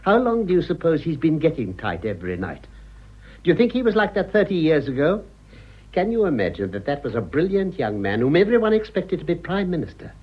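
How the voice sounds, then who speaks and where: An actor playing a worldly, sardonic old English gentleman with polished manners, in a radio drama. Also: fairly high pitch, sing-song, a little fast, husky. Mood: scornful. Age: older. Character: cynical, urbane old English gentleman, amused and cutting. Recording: muffled radio recording.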